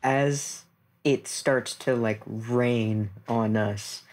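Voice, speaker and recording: Slow-talking woman in a tabletop game. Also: extremely low pitch, steady tone, a little mellow. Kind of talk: narrating her character's actions.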